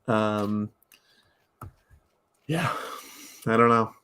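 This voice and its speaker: A man's voice: fairly low pitch, very slow, bright, forceful tone, smooth. A man chatting casually as a podcast guest, with a wry, joking way of talking.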